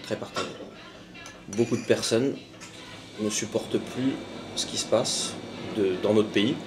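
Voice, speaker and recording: A man's voice: on the low side, measured pace, noisy background. A French man hosting a casual travel vlog, enthusiastic and encouraging as he speaks straight to his viewers. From France. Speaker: vlogger host.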